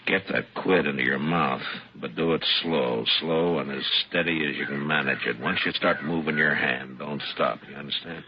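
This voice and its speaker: Steady frontier lawman, rock-bottom pitch, creaky, commanding, deliberate, vintage radio western. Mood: calm, careful. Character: stern, steady middle-aged male Old West lawman. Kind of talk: old-time radio western acting. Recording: dull, noisy vintage radio recording.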